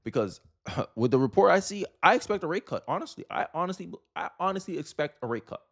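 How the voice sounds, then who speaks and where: Higher-pitched man giving casual opinions. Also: sing-song, quick pace, vocal fry, a bit bright. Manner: informal, repeats and rephrases points for emphasis. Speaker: host of a political commentary show.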